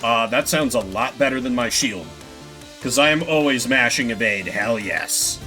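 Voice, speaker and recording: A young man streaming a video game, giving excitable commentary and swearing casually, over game music. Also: mid-range voice, normal speed. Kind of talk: gameplay commentary.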